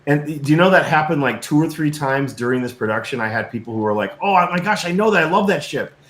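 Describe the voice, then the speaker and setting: A middle-aged man speaking as an interview guest and filmmaker, telling stories casually in an earnest, reflective, halting way with emphatic repetition. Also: on the high side, expressive, a bit bright. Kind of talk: casual conversational storytelling. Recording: roomy echo, faint background noise.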